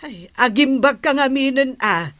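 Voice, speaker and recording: A woman's voice: high voice, expressive, measured pace, a bit bright. A woman playing a nagging, exasperated character who scolds insistently with an Ilocano accent in a comic radio drama.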